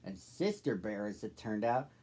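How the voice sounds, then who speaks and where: A man's voice: lowish voice, animated, normal speed, warm tone. A man in his thirties hosting and reading a storybook aloud for children. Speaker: storybook reader, host.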